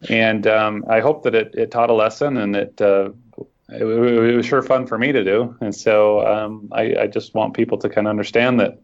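A man's voice: on the low side, steady tone, normal speed. A man being interviewed, talking earnestly and thoughtfully in a casual conversation. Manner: earnest, reflective storytelling. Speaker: guest, online scam-baiter. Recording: dull lo-fi audio.

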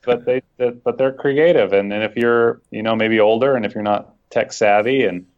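A man's voice: medium pitch, moderate pace. A man being interviewed, talking earnestly and thoughtfully in a casual conversation. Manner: earnest, reflective storytelling. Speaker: guest, online scam-baiter. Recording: dull lo-fi audio.

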